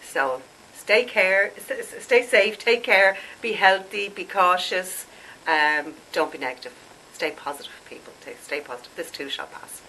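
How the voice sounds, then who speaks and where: Low bright-voiced woman, stuffed up. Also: moderate pace, a little hiss or hum, low-quality recording. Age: late 40s. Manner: chatty, rambling, laughs at herself. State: congested, stuffed up. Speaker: skincare vlogger. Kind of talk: casual chat to camera.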